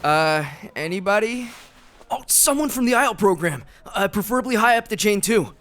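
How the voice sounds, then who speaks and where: An awkward, grumpy young student, acted out by a fan performer in a comic dub. The mid-pitched voice is hesitant and casual, with jumpy, lively swings. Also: normal speed, lightly acted. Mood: hopeful, startled. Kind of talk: fandub character acting.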